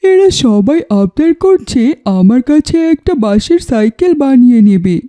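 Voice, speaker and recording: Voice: high-pitched, big pitch swings, unhurried, mellow. A performer voicing a good-natured, earnest young village inventor in a cartoon, speaking politely. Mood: friendly, obliging. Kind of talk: cartoon dialogue.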